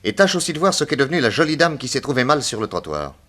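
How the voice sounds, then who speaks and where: An actor playing a self-assured private detective in a French radio drama, brisk, methodical and authoritative. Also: male voice, medium pitch, very animated, fairly bright tone. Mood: commanding.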